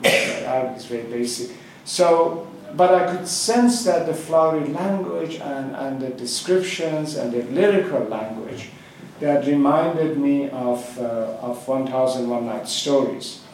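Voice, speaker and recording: A man whose first language is Farsi, giving a public talk at a book gathering, with many pauses, fillers and restarts. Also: medium pitch, darkish tone, slight background noise. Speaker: guest speaker at a book club gathering. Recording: echoey hall, far from the mic.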